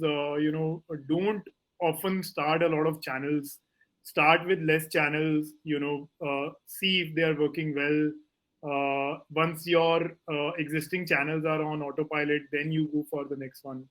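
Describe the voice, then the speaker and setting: A man's voice: on the high side, a bit bright, rough recording. A man speaking as a panelist on a video call, hedging with many fillers. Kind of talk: panel discussion.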